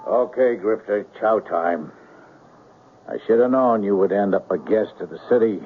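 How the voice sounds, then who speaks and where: An actor playing a folksy, grumbling small-town sergeant in a 1970s radio drama, gruff and sardonic. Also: male voice, on the low side, moderate pace, loud music behind the voice, natural voice. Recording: muffled, phone-like old radio recording.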